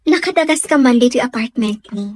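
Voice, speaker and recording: An affectionate young student in a Filipino radio drama. Her voice is high and sweet, with a Filipino accent. The sound is dry and close. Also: animated, fast, no echo. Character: sweet grateful young female student in love.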